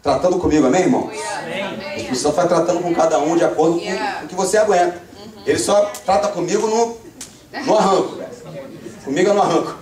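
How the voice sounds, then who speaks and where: A young Brazilian man with a Rio de Janeiro accent, preaching a fervent revival sermon with call-and-response and bursts of shouting. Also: high voice, quick pace, long pauses, crowd noise. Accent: Rio de Janeiro Brazilian Portuguese. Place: church sanctuary. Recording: echoey church from far off.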